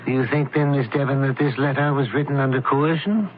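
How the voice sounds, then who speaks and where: An actor playing a brisk, shrewd doctor turned investigator in an old-time radio mystery, questioning with clipped authority. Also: male voice, medium pitch, fairly even intonation, lightly acted. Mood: measured, shrewd. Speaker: investigator character. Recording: narrow, tinny old radio recording, hiss.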